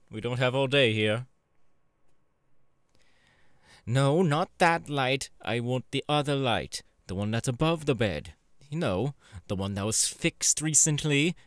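Slow, sleazy demon boss played by a man. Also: fairly bright tone, no room sound. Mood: impatient, bossy. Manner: suave, drawling, leering, with low chuckles and pet names. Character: sleazy, seductive demon porn director and crime boss, male. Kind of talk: ASMR audio roleplay, in character.

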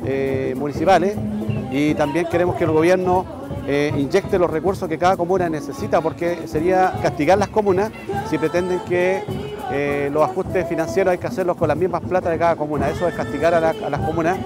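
A fairly high-voiced man speaks in a halting, emphatic way, making a statement at an outdoor rally. Very loud music plays behind him. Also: steady tone. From Chile. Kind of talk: interview statement at a protest march.

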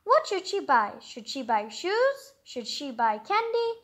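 Female read-aloud host, very high and lively, informal storybook reading with chatty commentary. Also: a little slow.

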